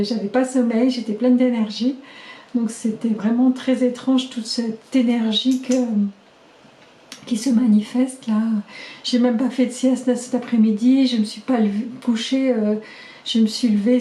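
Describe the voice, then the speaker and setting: A middle-aged woman recording a casual video diary, chatty and halting with many fillers, her voice slightly hoarse as she loses it. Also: fairly high pitch, dark tone. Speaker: vlogger keeping a video diary. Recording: echoey room.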